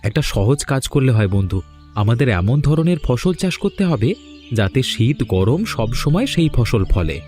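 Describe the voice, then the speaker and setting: A man's voice: medium pitch, very animated, unhurried, a little mellow. A man playing a plain-spoken, pushy village farmer in a scripted cartoon story, with music under the voice. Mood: friendly.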